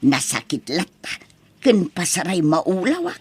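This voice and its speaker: A woman with an Ilocano accent playing a vain, chatty elderly village mother in a comedy audio drama, animated and folksy in manner. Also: lowish voice, a little slow, slightly rough, no echo, full character voice. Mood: chatty, matter-of-fact.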